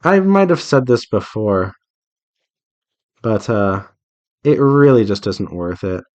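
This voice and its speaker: A man with a fairly low voice speaks very slowly and casually. He rambles in an earnest, conversational way, offering advice as he goes, like an artist hosting a relaxed drawing stream. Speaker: visual novel developer and artist hosting a drawing devlog.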